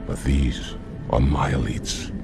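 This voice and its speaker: An actor playing a gruff, scornful alien commander in a video game cutscene, blunt and fiercely protective. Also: male voice, a bass voice, flat delivery, slow, loud music behind the voice, exaggerated voice.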